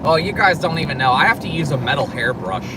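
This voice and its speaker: A man's voice: fairly high pitch, very animated, fast. A man in his early thirties chatting casually on a live stream, joking and laughing often as he reads comments. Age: 30s. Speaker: co-host reading live chat. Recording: outdoor live stream, noisy, mic at a distance.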